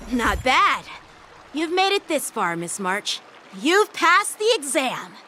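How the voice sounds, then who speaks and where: Youthful boy swordsman, highish voice, animated, confident, challenging, anime game. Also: slow pace, soft background music, full character voice. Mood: approving. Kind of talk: anime-style video game voice acting.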